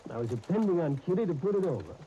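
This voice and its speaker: An actor playing an apologetic, hard-pressed theatrical producer in a 1930s radio drama, hesitant and self-justifying. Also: male voice, fairly high pitch, very animated, rapid-fire, mellow, highs cut off. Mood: apologetic, strained. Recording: old 1930s radio disc, crackling noise.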